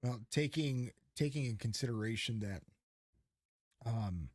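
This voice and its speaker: Male podcast host, mid pitch, dry close sound, emphatic, rambling delivery. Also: animated, a little slow, darkish tone, dry recording. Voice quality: calls his voice fit for radio. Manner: rambling, many restarts and fillers, emphatic. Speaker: podcast host and race commentator. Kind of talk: casual podcast chat.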